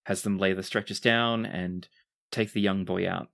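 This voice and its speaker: Game master for a tabletop roleplaying session, low-pitched voice, narrating the scene. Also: fairly even intonation, moderate pace, creaky, fairly bright tone.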